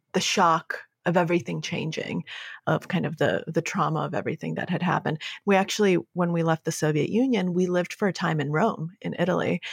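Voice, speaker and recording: A woman's voice: low-pitched, vocal fry, slightly rough, no echo, clean studio sound. A woman with an American accent, speaking as an interview guest in a reflective, articulate, conversational storytelling way. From born in Moscow, raised in the United States.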